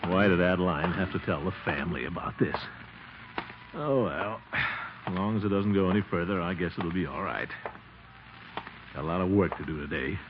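An actor playing a flustered, pompous middle-aged uncle and water commissioner in a 1940s radio sitcom, blustering and exasperated. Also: male voice, deep voice, normal speed, long pauses, over music, close to an everyday voice. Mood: resigned, grumbling. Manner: pompous, blustering, swooping exclamations. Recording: dull, noisy 1940s radio recording.

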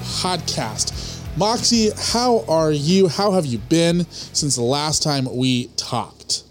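A man's voice: on the high side, slow pace, soft background music. A young man co-hosting a podcast in casual banter, full of sarcastic ribbing. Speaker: podcast co-host.

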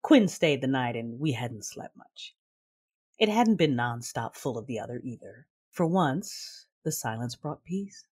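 An actress playing a proud, passionate but guarded woman warrior, narrating an audio drama in the first person in a breathy, intimate, measured way. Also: very deep voice, expressive, darkish tone, full character voice. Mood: wistful. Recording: music under the voice. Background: music.

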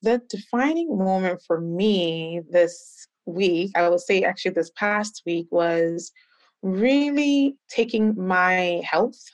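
A woman from Ghana, speaking as a podcast guest, talks in a relaxed and reflective manner. Her voice sits a little low, and the recording is close and dry, with no room sound. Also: slow pace, dry recording. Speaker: guest, tech professional and founder. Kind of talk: podcast interview.

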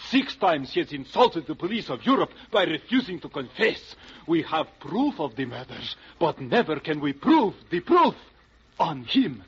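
An actor playing an excitable, indignant Bulgarian consulate official, with a Bulgarian accent and melodramatic broken English in an old radio drama. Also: male voice, on the high side, normal speed, slightly rough, full character voice. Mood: indignant, dramatic. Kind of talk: 1940s radio comedy-detective acting. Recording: muffled old radio broadcast recording.

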